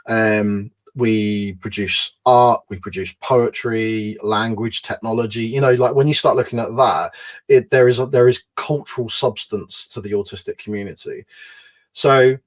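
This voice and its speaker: A man's voice: lowish voice, steady tone, a little slow. A man who is the guest in an interview, explaining his points steadily and stacking them in lists. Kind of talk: conversational interview.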